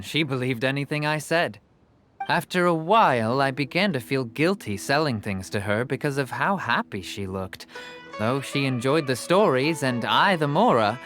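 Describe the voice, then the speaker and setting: A man's voice: mid-range voice, fairly bright tone. An actor playing a jovial, persuasive merchant with salesman patter, in video game dialogue. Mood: reflective. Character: smooth-talking adult male merchant, jovial salesman. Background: game music.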